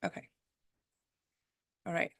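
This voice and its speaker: A woman from Poland with a Polish accent hosts a live online premiere and guides listeners through a gentle reflection in a soft, inviting way over a video call. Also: slow, no room sound, clean studio sound. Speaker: host.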